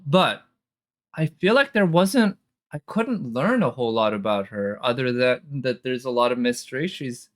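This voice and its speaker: A woman streamer giving casual reaction commentary, speculating and thinking aloud as the thoughts come. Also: low voice. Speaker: streamer reacting to a game trailer. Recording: music behind the voice. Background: game trailer music.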